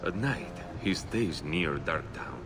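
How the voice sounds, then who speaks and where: An actor playing a gruff, guarded male informant in a fantasy video game. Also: a bass voice, expressive, takes it slowly, fairly bright tone, a little hiss or hum, heavily acted. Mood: guarded, confiding. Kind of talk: scripted video game dialogue.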